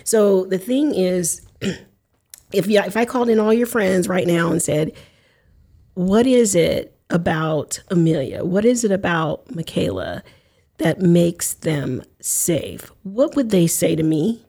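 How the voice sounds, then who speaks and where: A woman hosting a casual interview, her voice set somewhat low with a mellow, relaxed tone. She talks in loose, reflective sentences that double back and restart as she thinks aloud. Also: slow pace, vocal fry. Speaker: podcast host.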